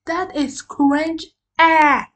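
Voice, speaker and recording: A woman doing casual reaction commentary as a video host, halting and slangy in her delivery. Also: sits high, steady tone.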